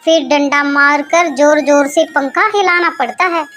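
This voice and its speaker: A woman's voice: high-pitched, fairly even intonation, normal speed, a bit bright, slight background noise. A woman voicing a plaintive, fretful old wife and doting mother in a dramatized moral story. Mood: tearful complaint.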